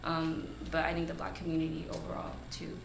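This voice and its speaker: A woman's voice: deep voice, monotone, a little fast, creaky. A woman talking casually in a group discussion, reflective and a little hesitant. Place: indoor room at a group table read. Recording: roomy echo, noticeable noise.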